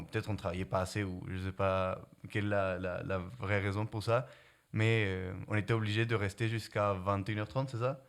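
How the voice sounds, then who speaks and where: A young man from Guatemala with a light Spanish accent, answering interview questions in a halting way, often restarting and searching for words. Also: fairly low pitch. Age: young adult. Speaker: prep-school student.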